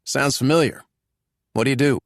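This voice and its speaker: A man's voice: mid-range voice, moderate pace, no echo, studio quality, pitched above the performer's own voice, close to an everyday voice. A man voicing a blunt, boastful, wealthy American man in his sixties for an audiobook. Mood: inquisitive. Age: 60s. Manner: blunt, crass.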